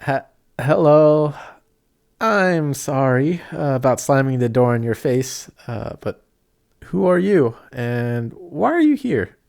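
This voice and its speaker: A young man playing a kindhearted apprentice sorcerer in an audio roleplay, chatty, excitable and easily flustered, with some stammering. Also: medium pitch, slow, vocal fry. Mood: flustered, awkward. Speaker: voice actor. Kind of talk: amateur audio roleplay acting addressed to the listener.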